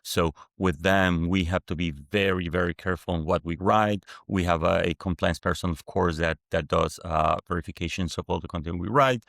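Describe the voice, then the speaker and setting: A man in his forties from Mexico City with a Mexican Spanish accent, a non-native English speaker, answering interview questions in a halting way with many restarts. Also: low voice, vocal fry, fairly bright tone, no room sound, clean studio sound. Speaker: guest founder. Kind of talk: conversational interview.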